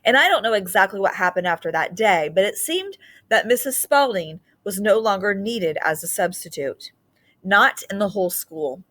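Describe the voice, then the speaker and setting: A woman's voice: mid-range voice, expressive, normal speed, a bit bright. Woman reading a storybook aloud. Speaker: storybook reader. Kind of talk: read-aloud children's story narration.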